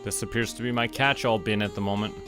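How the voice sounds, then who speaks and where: Low-voiced man musing over background music. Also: steady tone, fast. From Canada. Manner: musing, talking to himself. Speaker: streamer. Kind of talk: casual gaming livestream chat, thinking aloud. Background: clear background music.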